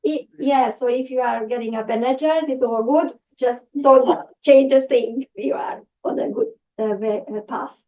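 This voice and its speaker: A woman's voice: high-pitched, unhurried, few pauses. A woman from Hungary with a Hungarian accent, giving a public talk to a live audience with many fillers and restarts. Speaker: presenter. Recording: distant, dull lo-fi room recording.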